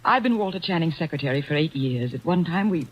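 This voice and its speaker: An actress playing an anxious secretary under suspicion in an old radio drama, speaking in halting, breathless bursts. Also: female voice, low voice, lively intonation, highs cut off, slight background noise. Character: anxious female secretary, worried suspect. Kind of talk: 1940s radio acting.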